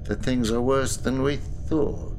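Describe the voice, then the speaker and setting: A man's voice: medium pitch, steady tone, takes it slowly, a little mellow, a little hiss or hum, lightly acted. Man playing a curt sardonic starship commander. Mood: grim, dry. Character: cold, sardonic, commanding male starship crewman. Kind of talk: science fiction audio drama acting. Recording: clear music behind.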